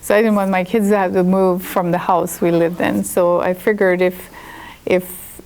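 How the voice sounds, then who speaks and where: A Swedish-accented woman in her fifties speaks with a low, gravelly voice. She tells her own story to a listening audience, candid and chatty. She often restarts her sentences as she goes. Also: little pitch movement, moderate pace, darkish tone, low-quality recording. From Sweden. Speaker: chiropractor and guest speaker. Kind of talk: personal story talk to an audience.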